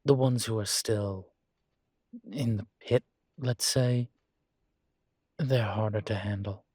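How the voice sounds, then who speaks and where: A composed male college professor, played by a male voice actor in an intimate audio roleplay. His voice sits fairly low and moves at a very slow, measured pace, formal and dryly wry. The sound is close and very dry, with no room to it. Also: fairly even intonation, a little mellow, no echo. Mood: wry, reassuring. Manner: measured, formal, hesitant politeness. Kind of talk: intimate scripted one-sided roleplay dialogue.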